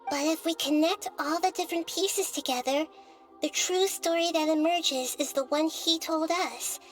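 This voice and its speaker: A high-pitched young woman of learning, composed and shrewd, for an anime game. She speaks precisely and deliberately, with music playing behind her. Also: low-quality recording. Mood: calm, explanatory. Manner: measured, precise, deliberate explaining. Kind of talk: anime video game voice acting.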